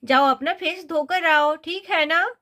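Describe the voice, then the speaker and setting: A woman's voice: very high voice, unhurried, sharp tone, full character voice. A woman voicing an indulgent, soothing mother in a children's cartoon story.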